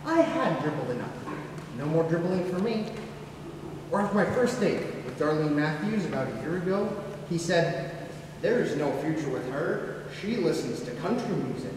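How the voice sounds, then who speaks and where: A man's voice: a little high, expressive, normal speed, natural voice. Obsessive, sincere teen boy played by a young man, stage monologue; distant recording with noisy background. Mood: earnest, confiding. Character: earnest, obsessive teenage boy confiding odd beliefs. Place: school theatre stage, recorded from the audience. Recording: noisy, very echoey hall from far off.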